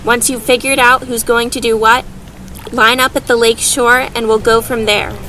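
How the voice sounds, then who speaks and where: A woman's voice: fairly high pitch, level tone, normal speed, bright, forceful tone, faint music behind. A woman playing a feisty, determined young mage leader in an audio drama, talking in an informal, direct way. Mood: rallying. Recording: faint background noise.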